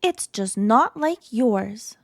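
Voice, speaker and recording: A proud little girl voiced by a woman reader speaks up in a storybook. She is earnest, plain-spoken and stubborn. Her voice is fairly high, in a dry recording. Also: animated, slow pace, no echo, exaggerated voice. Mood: defiant, scowling. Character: proud, stubborn little girl who loves odd plants.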